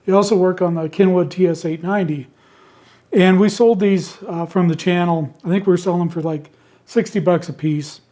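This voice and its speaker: Male host, high mellow voice gone hoarse, easygoing rambling delivery, home radio shack. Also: steady tone, normal speed. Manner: easygoing and rambling, homespun phrasing. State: hoarse, worn-out voice. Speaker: ham radio channel host selling handmade keypads. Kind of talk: casual product talk to camera. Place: indoor radio shack with computer behind.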